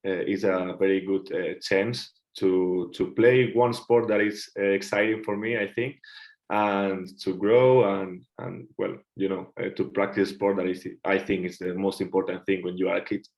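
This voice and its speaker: Halting Spanish-accented man, low voice. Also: steady tone, darkish tone, poor audio. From Catalonia, Spain. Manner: halting, searches for words. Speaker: guest, futsal player. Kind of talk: casual interview answers.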